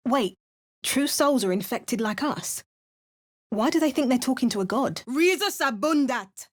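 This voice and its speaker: A woman with an English accent voicing a hardened, wry female adventurer in a video game, making dry remarks and chanting spells. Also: a little high. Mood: brooding, then incanting. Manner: chants spell words in a lively, sing-song way. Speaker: player character.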